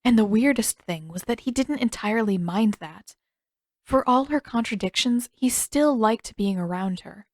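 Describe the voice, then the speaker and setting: A woman's voice: a little high, expressive, moderate pace, no echo. Woman narrating fiction, very dry sound. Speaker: audiobook narrator.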